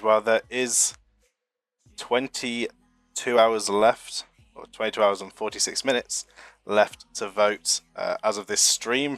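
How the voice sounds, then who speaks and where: A man's voice: lowish voice, a bit bright, no echo. A young man from the UK hosting a live stream, talking in a chatty, rambling, self-deprecating way while getting over a flu. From United Kingdom. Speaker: streamer hosting a marble racing channel. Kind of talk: casual live-stream chat reading chat messages.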